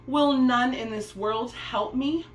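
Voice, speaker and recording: A woman's voice: a little high, slow pace, reverberant, slight background noise, pitched above the performer's own voice. A woman voicing a meek, polite young girl in a storybook, humble and pleading in manner.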